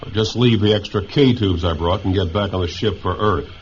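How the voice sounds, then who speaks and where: A man's voice: sits low, a little fast, natural voice. A man playing a diplomatic visiting scientist in a science fiction radio drama, earnest, conciliatory and a little hesitant. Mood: calm, conciliatory. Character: polite, diplomatic male visiting scientist and psychological investigator. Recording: muffled lo-fi audio, faint background noise.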